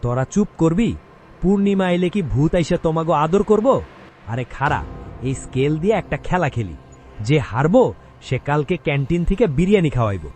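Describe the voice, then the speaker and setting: A man's voice: very animated, normal speed, a little mellow. A man playing a cocky, fearless schoolboy in a horror audio drama, brash and slangy with a Bangladeshi Bengali dialect. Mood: scoffing. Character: cocky fearless mischievous schoolboy, scoffing ringleader. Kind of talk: acted audio horror story dialogue. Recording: noisy, traffic rumble behind.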